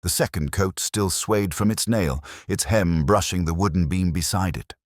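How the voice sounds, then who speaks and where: Very deep-voiced male mystery narrator. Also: no room sound, clean studio sound. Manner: measured, solemn delivery in short phrases. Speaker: storyteller narrator. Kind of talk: scripted dramatic mystery audiobook narration.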